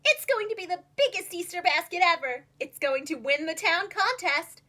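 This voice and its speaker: An eager little boy mouse voiced by a woman from a children's storybook, high and bright. He is competitive and boastful, pressing his words with lively emphasis. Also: moderate pace, exaggerated voice. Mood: eager, proud.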